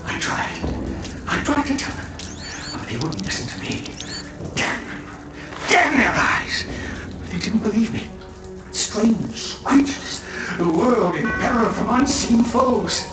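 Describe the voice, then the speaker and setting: Horror game asylum inmate: anguished, bitter, theatrical, very animated pitch, music underneath. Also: male voice, slow pace, long pauses, reverberant, recorded from a distance, heavily acted, rough recording. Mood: bitter, feverish. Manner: declamatory, anguished, swinging from hushed dread to shrieking. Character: raving, embittered man locked away in an asylum. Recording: music behind the voice.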